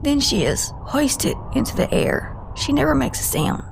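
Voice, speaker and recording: A woman acting as a grieving female agent in an audio drama, her voice fairly high with a heavy creak. She confides haltingly and guardedly, breaking off mid-sentence, over faint background noise. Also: very animated, heavily acted. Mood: anguished, dread.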